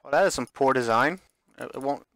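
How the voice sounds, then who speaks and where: A man's voice: medium pitch, brisk, fairly bright tone, no echo. Man live-streaming a game, very dry sound. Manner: stumbles over words and corrects himself when reading. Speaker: streamer playing and narrating a visual novel. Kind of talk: casual live game commentary and reading game text aloud.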